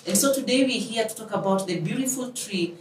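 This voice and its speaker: A woman's voice: on the high side, a little fast. A woman hosting an interview in a formal, courteous manner. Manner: formal, courteous, speaks in proverbs. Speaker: show host interviewer. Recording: roomy, distant mic, faint noise.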